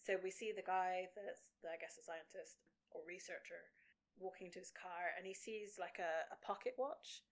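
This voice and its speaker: A woman's voice: fairly low pitch, moderate pace. A woman co-hosting a podcast, recapping a TV show in casual conversation. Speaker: podcast co-host.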